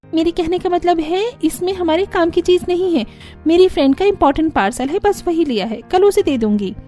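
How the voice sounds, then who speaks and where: A woman's voice: extremely high pitch, brisk, mellow, soft background music, voice pushed up. A woman voicing a sly, nervous young college-going daughter in a cartoon family story, evasive and whiny.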